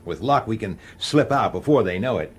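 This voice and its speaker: An actor playing a gruff, wary police detective in a radio drama, talking in a blunt, colloquial, urgent way. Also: male voice, on the low side, lively intonation, normal speed. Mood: weary. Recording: noisy old radio drama soundtrack.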